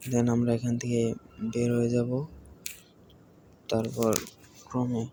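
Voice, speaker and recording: A man with a Bangladeshi accent narrates an informal screen tutorial, explaining step by step in a halting way. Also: mid-range voice, monotone. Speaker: tutorial presenter. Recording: home recording with faint background noise.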